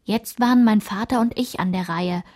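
A woman playing a narrator who recalls her ashamed girlhood, in a measured, matter-of-fact literary radio play style. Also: medium pitch, mellow, natural voice. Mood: sober recollection.

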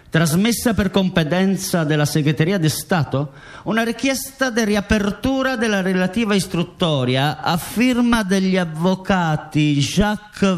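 A man reading scripted documentary radio drama narration in a formal, declarative way. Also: high voice.

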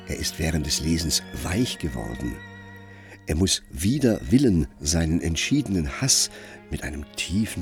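A man narrating a literary radio drama in a measured, storytelling way. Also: a bass voice.

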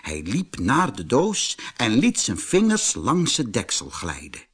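A man narrating a children's storybook in an expressive, deliberate manner. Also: mid-range voice, slightly rough.

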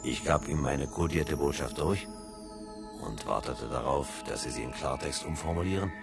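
Really deep-voiced guy narrating a 70s sci-fi radio play, measured, electronic music underneath. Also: vocal fry. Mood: measured, intent. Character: male first-person narrator of a science fiction radio play. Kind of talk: scripted narration, 1970s German radio drama.

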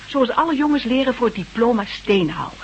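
An actress playing a brisk, caring, devoted wife with fervent beliefs in a Dutch radio drama. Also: female voice, fairly high pitch, lively intonation. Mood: matter-of-fact. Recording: dull hissy old radio recording.

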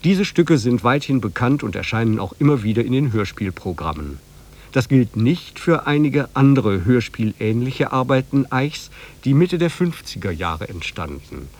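A man's voice: mid-range voice, sing-song, normal speed, darkish tone. A man presenting a radio programme, reading a scripted, informative introduction.

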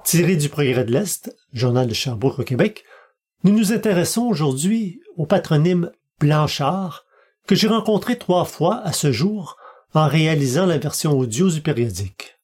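A man hosts a podcast, reading scripted narration in a measured, formal way. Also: a little high, lively intonation, a little mellow, slightly rough. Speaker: podcast host.

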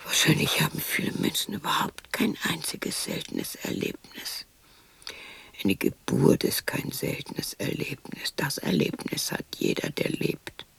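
An actress voicing a gruff, plain-spoken husband who lectures his wife slowly and insistently in a radio drama. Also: a bass voice, wildly swooping pitch, fairly bright tone, exaggerated voice, rough recording.

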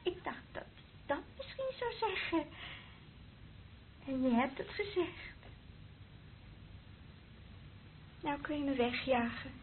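Through a narrow, noisy old radio recording comes an anguished woman in love. She speaks slowly and intensely, her radio-drama delivery sliding between outcries and hushed whispers. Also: high-pitched, big pitch swings. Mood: desperate, pleading. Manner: intense, swinging from cries to slow whispers. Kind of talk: radio play acting.

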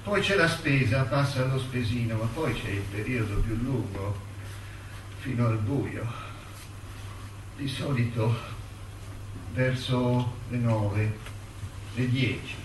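An actor playing a jailed intellectual in a radio drama, dignified and wry, speaking in measured, deliberate phrases with weighty pauses. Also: male voice, medium pitch, close to an everyday voice. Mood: sorrowful, resigned. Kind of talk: stage-style radio drama, letter and courtroom dialogue. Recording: roomy, distant, noisy theatre-like recording.

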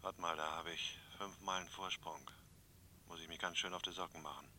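A man's voice: deep voice, fairly even intonation, fairly quick, pushed and bright, slight background noise. An actor playing a terse, businesslike male conspirator in a German radio crime drama, heard as a distant phone voice.

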